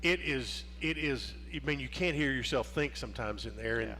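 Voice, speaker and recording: A man's voice: medium pitch, moderate pace, pushed and bright, slight background noise. Rambling man hosting a sports show. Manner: rambling, lots of restarts and fillers. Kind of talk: casual sports interview.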